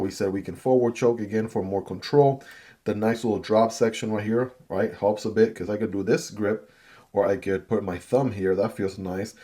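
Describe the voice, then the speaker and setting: A man's voice: fairly low pitch, mellow. A man with Chinese as his first language giving a chatty knife unboxing and review, often adding "right?" as he goes. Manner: chatty, repeats "right?" and "I gotta tell you". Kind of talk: casual product review.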